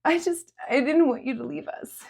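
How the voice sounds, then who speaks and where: A woman's voice: high-pitched, normal speed, creaky, a little mellow, voice pushed up. A woman voicing a guilt-ridden, needy young woman in a dramatic audiobook, tearful and pleading. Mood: pleading, upset. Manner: sobbing, pleading, self-accusing. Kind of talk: dramatic audiobook character acting.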